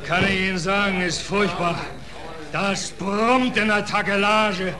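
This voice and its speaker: A man's voice: high voice, steady tone, measured pace, crowd noise. An actor playing a weary, haunted seaman with brooding, repetitive phrasing in a 1940s German radio drama. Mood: feverish dread. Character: weary, haunted seaman telling of horrors at sea. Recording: old radio play, loud music behind.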